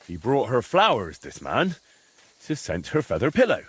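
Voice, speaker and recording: Husky-voiced, lively young adventurer. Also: male voice, medium pitch, normal speed. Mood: halting recital. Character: earnest young adventurer, polite but easily exasperated. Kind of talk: video game dialogue acting.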